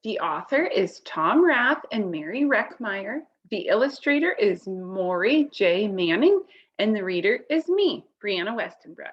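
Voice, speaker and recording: A woman reading a picture book aloud to children, expressively, pausing to comment and ask simple questions. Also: mid-range voice, breathy edge, lo-fi sound. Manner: expressive, asks simple questions of young listeners. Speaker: storybook reader.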